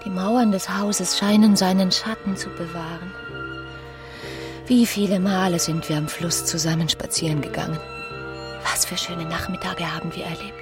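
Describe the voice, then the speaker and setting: A woman's voice: on the low side, steady tone, moderate pace, over loud music, natural voice. A woman playing a melancholy, romantic provincial young wife in a radio play's inner monologue, wistful and dramatic. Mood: wistful grief.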